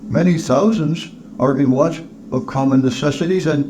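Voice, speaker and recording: A man's voice: fairly high pitch, normal speed, voice pushed up, close to an everyday voice. A man puts on a polite charity collector from a storybook, in a raised voice. He is formal and earnest, hesitating and stammering deferentially. The recording has noticeable noise. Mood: earnest appeal.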